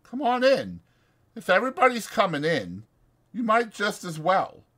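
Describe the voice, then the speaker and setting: A man's voice: sits high, huge pitch swings, measured pace, pushed and bright, pitched above the performer's own voice, exaggerated voice. A man voicing a lonely, bitter, scornful stable hand with brooding, emphatic, folksy rural speech in a dramatized audiobook. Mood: curt, irritable. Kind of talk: dramatized audiobook character acting.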